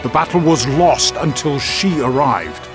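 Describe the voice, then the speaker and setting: An actor playing a seasoned, forceful military commander in an audio drama, grave and declamatory. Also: male voice, a little high, very animated, measured pace. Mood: grave awe. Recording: loud dramatic music behind.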